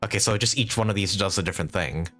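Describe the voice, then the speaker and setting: A man's voice: sits low, fast talker, a bit bright, faint music behind. A man doing casual live commentary as a game streamer, thinking aloud as he plays and swearing casually.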